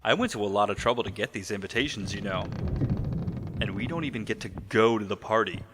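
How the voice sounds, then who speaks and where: A man's voice: fairly low pitch, a little fast, fairly bright tone, close to an everyday voice. A man playing a sarcastic, restless sidekick who complains and needles, in an audio drama. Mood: griping, sarcastic. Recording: clearly noisy background, clicks.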